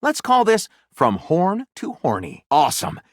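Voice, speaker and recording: Game role: flamboyant storyteller, highly animated, grandiose narrator who gushes, recorded dry. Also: male voice, measured pace, dry recording, heavily acted. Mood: gushing, delighted. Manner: grandiose narration switching to gushing excitement. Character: flamboyant, theatrical storyteller co-writing lurid pulp novels. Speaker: video game voice actor.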